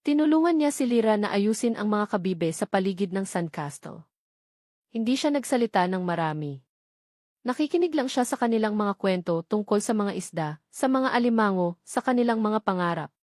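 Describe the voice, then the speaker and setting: A woman's voice: mid-range voice, lively intonation, moderate pace, darkish tone, dry recording. Woman narrating a dramatic story, dry sound. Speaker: audiobook narrator.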